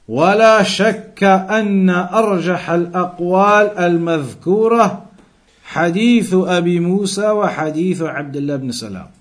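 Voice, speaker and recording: A man with a slightly high voice teaches a religious lesson. He explains in a didactic way, repeating and rephrasing key phrases so each point lands. He moves between quoted texts and plain explanation. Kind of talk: explanatory Islamic lesson, translating quoted Arabic into English.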